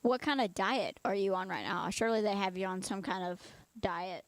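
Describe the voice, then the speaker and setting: A woman's voice: mid-range voice, lively intonation, normal speed, no room sound. A woman in her twenties interviewing a guest in a friendly, chatty way, rambling a little. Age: 20s. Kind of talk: casual interview.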